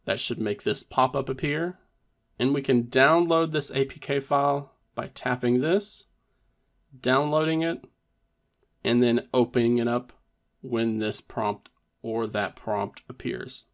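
A man's voice: mid-range voice, measured pace. A man presenting a tech tutorial in a methodical, step-by-step instructional narration.

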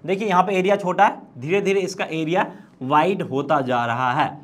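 An Indian man in his thirties with a noticeably high-pitched voice. He speaks as an instructor in a video lesson, explaining step by step. He often puts a question and then answers it himself. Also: moderate pace, a bit bright. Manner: didactic, asks and answers own questions. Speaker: stock trading instructor. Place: indoor studio, presenting at a screen. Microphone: lapel.

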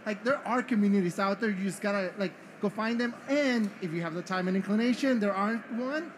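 High-pitched male panelist, lively and rambling, light hiss behind. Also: fairly quick. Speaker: panel guest. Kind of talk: casual panel chat.

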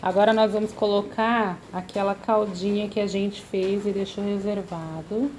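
Woman talking thru a cake recipe at home, mid pitch, faint kitchen hum behind. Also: steady tone, normal speed, a little mellow, rough recording. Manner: friendly, uses many diminutives. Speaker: cooking channel host. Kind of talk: step-by-step recipe narration.

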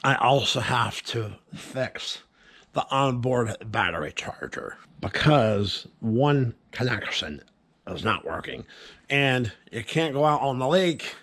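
A man's voice: medium pitch, a bit bright. A man in his thirties casually talking to camera, explaining his shop and tackle projects step by step. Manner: slow, easygoing, explaining step by step. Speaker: fishing vlogger. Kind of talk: casual vlog talk to camera. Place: outdoors by a pond and in a small wooden shop shed. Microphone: camera-mounted mic.

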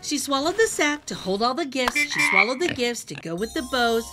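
A middle-aged woman hosting a children's read-aloud, telling a rhyming storybook in an animated, sing-song way over music. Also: sits high, brisk. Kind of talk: expressive rhyming storybook narration. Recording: music behind the voice.

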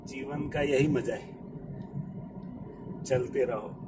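A man's voice: medium pitch, slow. Man vlogging from a noisy moving car. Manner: relaxed, chatty, addresses viewers directly. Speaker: travel vlogger. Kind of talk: casual travel vlog chat. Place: inside a moving car on a highway, later outdoors in a field. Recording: distant mic with loud road and wind noise. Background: car engine and road noise.

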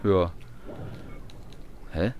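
A man's voice: very slow, dull audio, a little hiss or hum. A man giving casual, colloquial and often grumbling commentary while playing a video game. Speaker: gamer doing a let's play.

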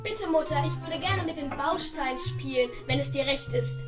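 Woman doing super polite little boy, high voice, formal and precise, kids radio play with music. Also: moderate pace, natural voice. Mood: polite, earnest. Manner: formal, precise, overly courteous. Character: extremely polite, well-behaved seven-year-old boy. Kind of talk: children's radio play acting.

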